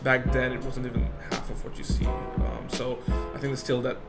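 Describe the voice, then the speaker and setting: A man from Bangladesh interviewing a guest casually, often saying "yeah" and pausing on "um". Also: mid-range voice, very animated, gravelly. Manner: frequent "yeah" backchannels, hesitant with fillers. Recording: faint music behind.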